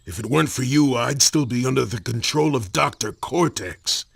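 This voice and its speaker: An actor playing a big, tough henchman in a cartoon video game, with a gravelly voice and swaggering manner. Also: medium pitch, lively intonation, moderate pace, no room sound. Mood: grateful. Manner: swaggering, punchy tough-guy talk. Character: cocky, tough metal-armed henchman, big adult male brute.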